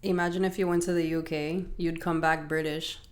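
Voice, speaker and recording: A young woman from Miami hosts a podcast and reads out fan questions. She teases and talks bluntly, laughing easily. Her voice sits a bit low. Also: little pitch movement, fairly quick. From Miami, Florida. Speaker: podcast host. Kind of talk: casual chat.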